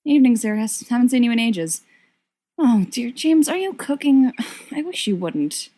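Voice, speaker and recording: A woman's voice: a little high, very animated, moderate pace, warm tone, husky, pitched above the performer's own voice. A woman reading a storybook part as a weary, wry young woman, coaxing and pleading.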